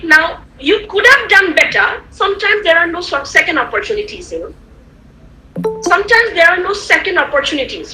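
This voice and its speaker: A woman whose first language is Tamil, giving an animated online lecture to students, repeating points and checking that they follow. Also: extremely high pitch, a little hiss or hum, poor audio. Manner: animated, repetitive, rhetorical questions to listeners. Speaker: guest lecturer. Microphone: computer mic on a video call.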